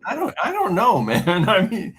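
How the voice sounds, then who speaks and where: A man's voice: high voice, huge pitch swings, normal speed, a crowd in the background. A man co-hosting a podcast, chatting casually about football, laughing often and trailing off mid-thought. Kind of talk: casual sports chat.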